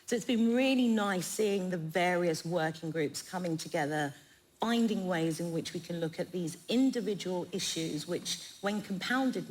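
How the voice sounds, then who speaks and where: A low-voiced woman speaks as a panellist at a live event, articulate and corporate yet conversational. There is clear background noise around her voice. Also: rough recording. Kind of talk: prepared but conversational panel talk.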